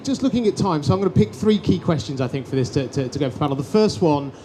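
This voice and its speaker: A man, loud and lively, presenting and chairing a conference panel with many fillers. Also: on the high side, fast, a little hiss or hum. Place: conference hall stage. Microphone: headset microphone.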